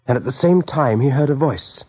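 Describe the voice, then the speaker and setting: A man's voice: mid-range voice, normal speed, darkish tone, breathy edge, close to an everyday voice. A man narrating a scripted radio drama as storyteller. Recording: dull vintage radio recording.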